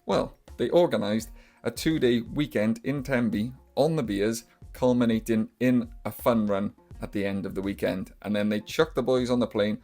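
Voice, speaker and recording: A man's voice: medium pitch, normal speed, a little mellow, no echo, soft background music. A young Welsh man narrating a documentary in a casual storytelling way, with personal asides. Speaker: documentary narrator and channel host.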